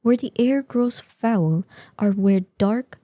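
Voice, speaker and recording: A woman acts out an ancient dragoness for a fantasy audio drama, proud and imperious. The voice is very dark and soft-edged, recorded close and dry. Each formal, archaic phrase comes slowly and with measured weight. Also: on the high side, no echo. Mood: curious, admonishing. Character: proud ancient female dragon in human form. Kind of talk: scripted roleplay audio drama monologue.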